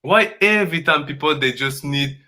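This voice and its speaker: A man coaching in an earnest, emphatic way, often repeating himself in halting English. His voice sits a bit high for a man and has a bright, forceful edge. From Congo. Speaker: dance coach. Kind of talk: conversational coaching talk.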